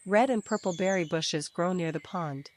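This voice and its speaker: A woman with a slightly low voice narrates a children's bedtime story in simple, deliberate phrases. Faint birdsong and nature ambience play behind her. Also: a little mellow, slight background noise. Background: birdsong, crickets, forest ambience.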